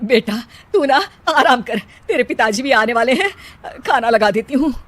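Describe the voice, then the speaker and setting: A concerned middle-aged mother acted by a woman in a moral-story cartoon. She advises and gently chides in a high, plain voice. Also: animated, normal speed. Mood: concerned, chiding.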